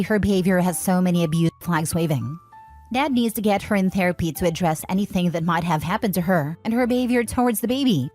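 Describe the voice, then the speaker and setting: A woman reading forum posts aloud as scripted narration, in an even, steady way. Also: lowish voice. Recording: faint background music.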